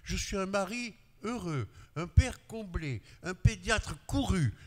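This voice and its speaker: A man's voice: lively intonation, takes it slowly. An older man whose first language is Arabic, a pediatrician, telling a personal story at a panel tribute on a handheld mic. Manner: storytelling with shifts of pitch and loudness, gesturing. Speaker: speaker paying tribute, pediatrician. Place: large glass-walled conference hall. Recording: long reverb in a hall.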